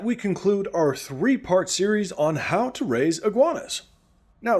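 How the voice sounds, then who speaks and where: Man playing an animated, upbeat radio host. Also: high-pitched. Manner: animated, earnest, encouraging. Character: cheerful, upbeat male radio host of a post-apocalyptic show. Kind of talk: scripted comedic radio show hosting.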